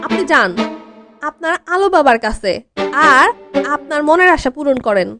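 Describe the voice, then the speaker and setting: High-pitched, stressed Bangladeshi teenage girl, acted by a woman. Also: lively intonation, normal speed. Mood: exasperated. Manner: exasperated, whiny complaining. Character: stressed, snappy teenage girl awaiting exam results. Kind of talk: cartoon comedy acting. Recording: music bed behind voice.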